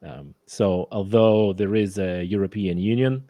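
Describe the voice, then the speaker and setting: A man's voice: sits low, fairly even intonation, a little slow, mellow, dry recording. A man from Poland with a Polish accent, a guest talking casually and hesitantly, often restarting his phrases. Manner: hesitant, restarts phrases, non-native grammar. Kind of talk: casual conversation.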